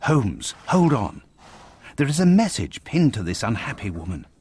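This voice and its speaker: An actor playing an earnest, excitable Victorian gentleman, a detective's loyal companion, with a British accent and a formal, exclamatory delivery in an adventure game. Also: medium pitch, moderate pace, breathy edge. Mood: alarmed, concerned. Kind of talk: scripted adventure game dialogue.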